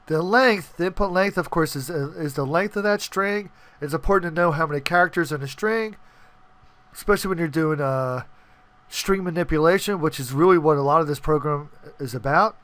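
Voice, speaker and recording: A man's voice: fairly high pitch, fairly bright tone, no echo. A man hosting a casual screen-recorded coding tutorial, talking informally and often checking in with the listener. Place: indoors, voice-over on screen capture.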